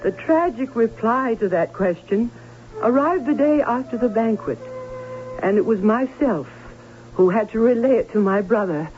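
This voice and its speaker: Actress as a prim, theatrical aunt on old radio. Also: female voice, fairly high pitch, expressive, darkish tone, slight background noise, natural voice. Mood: indignant, dramatic. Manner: dramatic, emphatic, deliberate pauses. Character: prim, theatrical spinster aunt and storyteller, anxious and fussy. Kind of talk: old-time radio drama acting. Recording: dull vintage radio recording, faint music.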